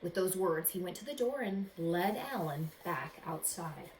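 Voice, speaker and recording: A woman teacher reading a storybook aloud to children in an expressive way. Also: fairly low pitch, measured pace. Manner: expressive, addresses young listeners with questions. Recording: echoey room with faint background noise.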